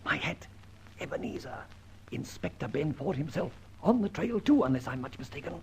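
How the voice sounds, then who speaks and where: An actor playing a jaunty, cheeky gentleman rogue with theatrical delivery in an old-time radio serial. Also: fairly high pitch, big pitch swings, moderate pace, slight background noise. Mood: stealthy muttering. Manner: theatrical, breezy, muttering asides to himself.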